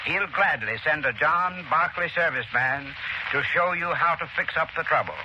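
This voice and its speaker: Higher-voiced American man, vintage radio pitch. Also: normal speed. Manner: formal, instructive. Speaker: heating expert, sponsor spokesman. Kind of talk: 1930s radio advertising pitch, scripted. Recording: dull, noisy 1930s radio broadcast.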